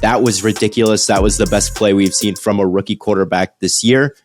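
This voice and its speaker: A man's voice: fairly low pitch, a little fast, music in the background. A young man co-hosting a sports podcast while under the weather, breaking down plays with enthusiasm. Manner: enthusiastic, animated analysis. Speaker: podcast co-host. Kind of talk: casual sports podcast chat.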